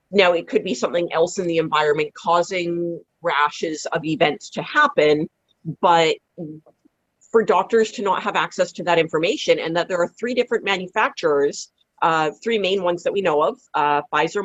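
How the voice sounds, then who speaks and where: A woman in a casual radio discussion, explaining carefully and rephrasing as she goes, on muffled audio. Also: no echo. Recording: muffled, dry low-fidelity call audio.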